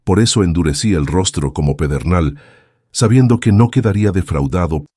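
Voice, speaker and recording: Deep-voiced man reading scripture. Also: animated, brisk, warm tone, breathy edge, no room sound, studio quality. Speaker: reader.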